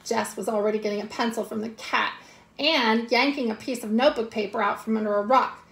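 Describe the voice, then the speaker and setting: A woman in her thirties reading a story aloud expressively like a teacher, pausing for short teaching asides. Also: on the high side, steady tone, vocal fry, fairly bright tone, slightly rough. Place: small indoor room with classroom alphabet wall strip. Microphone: phone at arm's length.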